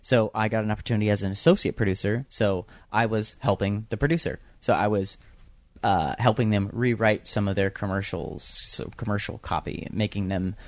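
A man's voice: on the low side, a little fast, no echo. A young man with a young-sounding voice, chatting casually as an interview guest about radio production work, full of fillers and restarts. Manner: rambling with many fillers and restarts.

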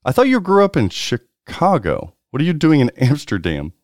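A man's voice: fairly high pitch, huge pitch swings, moderate pace, no room sound. A man hosting a pinball podcast, announcing in a showy, hype style before settling into casual chat. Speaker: podcast host.

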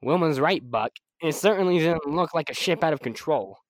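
Young person acting excitable science doctor in an old-time radio serial, voice swoops all over, very dry sound. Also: mid-range voice, normal speed, dry recording, lightly acted. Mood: earnest. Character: Doctor Hewer, excitable scientist in a space adventure. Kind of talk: classic radio drama acting.

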